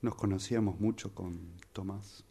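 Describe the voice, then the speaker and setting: A man improvising a radio drama part as a grieving, secretive man, talking in short, halting, pensive phrases. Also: low-pitched, steady tone, mellow, breathy edge. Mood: wistful. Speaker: radio drama workshop participant.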